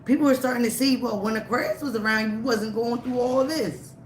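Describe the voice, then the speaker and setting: A tarot reader giving a live reading, emphatic and repetitive, slightly raspy from a cold. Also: mid-range voice, a little fast, roomy sound, slight background noise. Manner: emphatic, repeats phrases, frequent "okay?" and "all right?".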